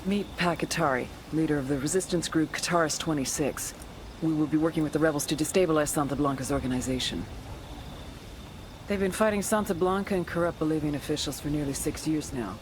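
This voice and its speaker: A woman's voice: low-pitched, a little fast, natural voice. A woman playing a hardened, blunt CIA handler in a video game, with a frank, world-weary, matter-of-fact delivery. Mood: firm, businesslike. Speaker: CIA field officer. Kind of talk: cinematic game dialogue. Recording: loud music, clear vehicle noise behind.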